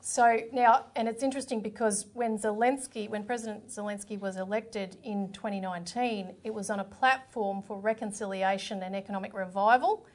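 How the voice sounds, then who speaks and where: A woman's voice: medium pitch, fairly even intonation, normal speed, few pauses. Australian woman, co-host, political discussion, explanatory, filler words. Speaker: co-host of a political current affairs program.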